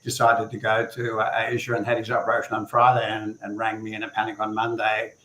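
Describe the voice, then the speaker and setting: Low, flat-voiced man moderating a panel. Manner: measured, hesitant with fillers and repeated words. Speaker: panel moderator, hair restoration surgeon. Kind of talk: live stream panel discussion. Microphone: video call. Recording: roomy echo on a video call.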